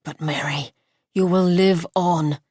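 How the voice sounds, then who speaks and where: A woman voicing a gravely ill gentleman who speaks softly and tenderly in a lowered, hushed voice. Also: fairly even intonation, takes it slowly, no echo, clean studio sound.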